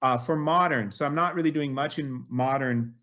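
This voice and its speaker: A man in his late fifties with a mid-pitched, ordinary voice talks eagerly as a guest. He rambles, piles up fillers and restarts his sentences as he gets excited. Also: moderate pace, slight background noise. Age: 50s. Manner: enthusiastic, rambling, many fillers like "you know". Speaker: guest collector. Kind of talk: casual interview chat.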